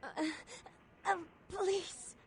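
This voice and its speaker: Very high, agonized wounded woman. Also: extremely slow, noisy background, full character voice. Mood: agonized, pleading. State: in agony, groaning. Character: badly injured female patient begging for a doctor. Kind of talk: video game dialogue acting.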